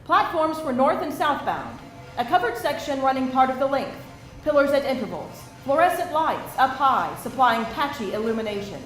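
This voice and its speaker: A woman's voice: sits high, animated, normal speed, a bit bright, faint music behind. A woman narrating stage directions from a script at a live table read. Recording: echoey room with faint hiss.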